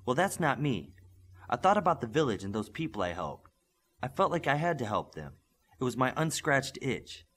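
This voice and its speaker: A man voicing an eager young male superhero trainee in an audiobook, earnest and excitable. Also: mid-range voice, normal speed, breathy edge, full character voice. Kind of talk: audiobook character acting.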